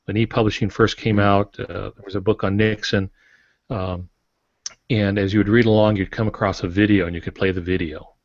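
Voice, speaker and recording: A man's voice: fairly low pitch, level tone, a little fast. A man speaking as a guest author on a podcast, explaining things conversationally in an informal, folksy, opinionated way. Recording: roomy, dull lo-fi podcast audio.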